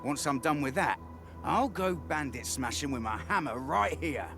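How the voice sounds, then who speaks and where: A hot-headed male worker from a video game, pitched high for a man. His delivery is very animated and colloquial, full of excitable bluster, over clear background music. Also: moderate pace. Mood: determined, chatty.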